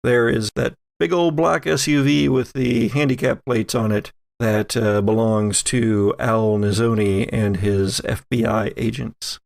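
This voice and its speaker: A man narrating a tabletop roleplaying game conversationally as game master, pausing to think as he goes. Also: mid-range voice, fairly even intonation, vocal fry, dry recording.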